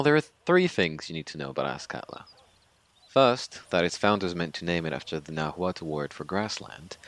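Deep-voiced, haunted young survivor. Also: male voice, no echo. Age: 20s. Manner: halting, informal, sweary, dramatic storyteller. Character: young Mexican man, haunted sweary survivor telling his tragedy. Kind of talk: confessional monologue in an audio drama.

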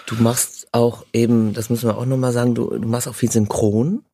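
A man's voice: medium pitch, flat delivery, fairly quick, dark tone, no echo, clean studio sound. A man hosting an interview in a casual, conversational way. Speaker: interviewer, host.

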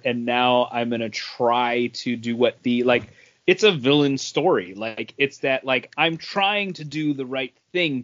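A man's mid-pitched voice in a dry, slightly dull recording. He chats casually as a podcast co-host, halting and rephrasing as he works through his thoughts. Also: fairly bright tone, no echo. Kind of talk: casual comic book discussion.

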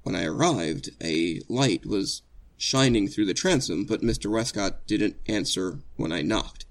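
A man playing an earnest, anxious condemned prisoner in a 1940s radio drama, speaking a little haltingly. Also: lowish voice, expressive, vocal fry, heavily acted. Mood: earnest, troubled.

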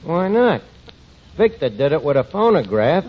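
An actor playing a cocky, scheming tavern manager with self-important patter in a 1940s radio sitcom. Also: male voice, on the high side, very animated, normal speed. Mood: boastful. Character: tavern manager, scheming, boastful, dim wisecracking man. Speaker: comedy lead. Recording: muffled, noisy 1940s radio broadcast, studio audience.

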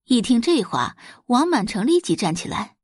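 A woman's voice: on the high side, sing-song, normal speed, husky, no room sound, studio quality. A woman reading scripted dramatic narration as the narrator of an audio drama.

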